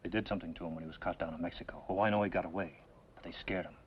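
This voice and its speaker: A man plays a vengeful western gunman, impatient and bitter. He talks low and fast in tough slang, on a muffled, faintly hissy old TV soundtrack. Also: steady tone, lightly acted. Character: hot-headed, bitter young outlaw gunman seeking revenge. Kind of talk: 1950s television western acting.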